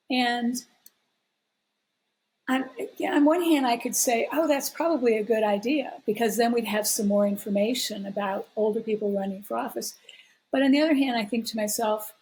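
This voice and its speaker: A woman's voice: a little high, darkish tone, poor audio. A woman of seventy, a voter weighing both sides thoughtfully. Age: 70s. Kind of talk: interview clip.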